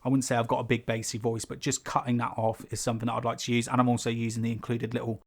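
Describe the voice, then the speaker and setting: A man, a YouTube creator, talking casually and rambling with fillers and asides; his voice is sibilant and his throat is rough. He is in a small carpeted home room with foam on the walls, recorded on a condenser mic overhead. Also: mid-range voice, monotone, racing. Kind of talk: casual unscripted talk to camera. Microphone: pencil condenser on a stand above the speaker.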